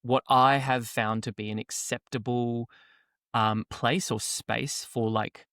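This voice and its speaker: A soft-spoken man talks on a podcast at a middle pitch. He speaks in a measured way and pauses to find the right word. The recording is dry and close. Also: flat delivery, no room sound. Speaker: podcast co-host. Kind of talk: casual chat.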